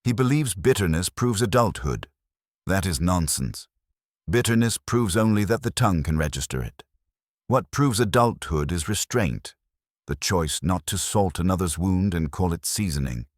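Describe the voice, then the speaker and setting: Male narrator as older genius sleuth: very low, dry close sound, haughty, formal, epigrammatic. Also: expressive, normal speed, no room sound. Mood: lofty, disapproving. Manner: formal, epigrammatic, pronouncing judgments. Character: ponderous, haughty detective genius, a pedantic older man.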